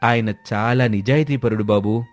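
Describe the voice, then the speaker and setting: A man's voice: on the low side, moderate pace, faint music behind, close to an everyday voice. A man voicing a humble, respectful village moneylender who protests honestly in a moral story. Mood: earnest protest. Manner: deferential, protesting.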